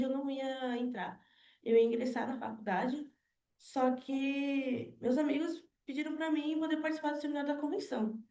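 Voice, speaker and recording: A woman's voice: fairly high pitch, mellow, roomy sound. An eighteen-year-old woman giving an earnest personal testimony to a church group, padding her talk with small fillers. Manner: earnest, many fillers like "né" and "na verdade". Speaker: team member giving testimony.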